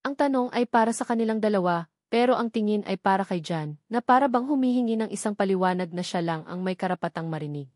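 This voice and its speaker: Woman, Filipino accent, mid-range pitch, dramatic audiobook narration, dry studio-like sound. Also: fast, darkish tone, dry recording. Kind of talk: dramatic scripted story narration.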